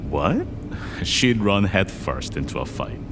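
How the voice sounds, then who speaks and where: An actor playing a gentle, fatherly older man, mixing words into his English. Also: sits low, big pitch swings, slow pace, music in the background, full character voice. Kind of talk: audio drama acting.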